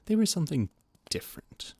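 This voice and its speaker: A man's voice: fairly high pitch, big pitch swings, brisk, darkish tone, breathy edge. A man voice-acting a flustered, formal royal adviser in an audio roleplay, often stammering. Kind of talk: audio roleplay, scripted monologue.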